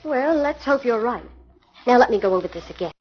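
An actress playing a polite, earnest young assistant going undercover, in a 1940s radio drama with a lo-fi sound. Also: female voice, fairly high pitch, expressive, brisk. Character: brave young female assistant on an undercover job.

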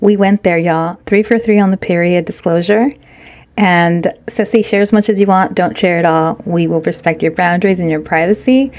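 A woman's voice: lowish voice, steady tone, moderate pace, darkish tone. A woman co-hosting a podcast in casual chat, candid and switching between English and Spanish. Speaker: podcast co-host.

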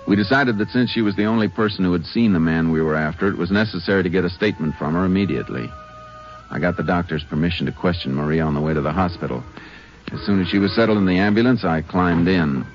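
An actor playing a calm, steady Texas Ranger lawman in a 1950s radio drama, speaking with a laconic drawl. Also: sits low, quick pace, lightly acted. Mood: narrating. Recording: muffled old radio broadcast with music.

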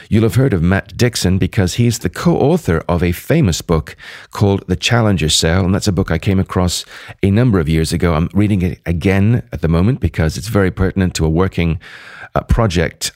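A hoarse man hosting a podcast, wrapping up a solo episode and previewing guests, talking rather quickly with frequent restarts. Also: sits low, darkish tone, no echo, clean studio sound. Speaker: podcast host. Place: at a sales conference.

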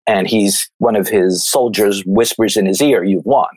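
An American man with a fairly low voice talks through films in a thoughtful, conversational style. He wanders through his points as he analyses them. The sound is dry, with very little room on it. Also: moderate pace, a little mellow, no echo. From New York, United States. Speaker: film commentator.

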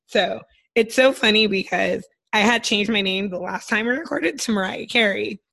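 Slightly hoarse, giddy woman podcaster. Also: medium pitch, moderate pace, a bit bright. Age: 30s. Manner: giddy, giggly. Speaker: podcast co-host. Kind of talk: casual, joking podcast chat. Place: remote video call.

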